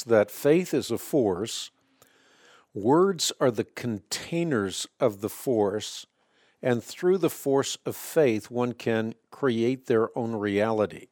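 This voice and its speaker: A man whose first language is Dutch, hosting a call-in radio show and calmly explaining faith questions, often citing scripture. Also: mid-range voice, no echo, studio quality. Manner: measured, explanatory, quotes scripture. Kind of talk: Bible answer call-in show.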